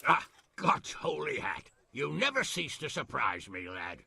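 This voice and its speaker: An actor playing a blunt, sardonic medieval lord in a video game, gruff and hearty. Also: male voice, medium pitch, wildly swooping pitch, a little slow, sharp tone, dry recording, exaggerated voice. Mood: exasperated, sardonic. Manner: gruff, sarcastic. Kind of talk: video game cutscene acting.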